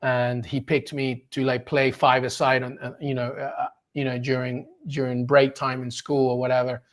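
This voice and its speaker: A man in his forties from Peru, a sports journalist telling his story as an interview guest in an animated, enthusiastic way, quick to laugh. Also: medium pitch. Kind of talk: casual storytelling in a conversation.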